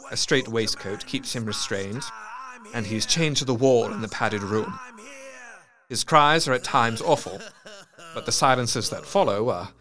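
A man's voice: mid-range voice, unhurried, pushed and bright. An actor playing a grave, melancholy Victorian asylum doctor, narrating his diary precisely and earnestly in a gothic audio drama. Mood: grim.

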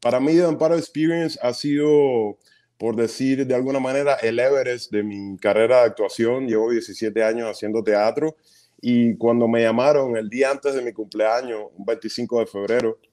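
A Cuban stage actor in his thirties, a guest in an interview, telling stories in an earnest, animated way with quick bursts of speech. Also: mid-range voice. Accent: Cuban Spanish. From Cuba. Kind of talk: casual interview storytelling.

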